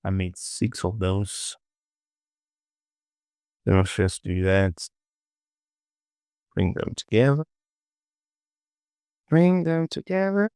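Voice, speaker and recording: Guy doing a laid out tutorial, mumbly repeating himself, real slow, close dry sound. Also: fairly low pitch, big pitch swings, a little mellow, clean tone, no echo. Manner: muttering, repetitive self-talk. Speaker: 3D modeling tutorial host. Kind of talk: casual screen-recorded tutorial, thinking aloud.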